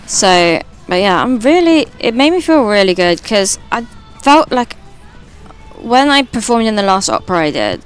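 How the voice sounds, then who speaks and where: A British woman with a high voice, streaming and chatting casually while gaming, rambling and swearing now and then. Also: very animated, normal speed, smooth, soft background music. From Britain.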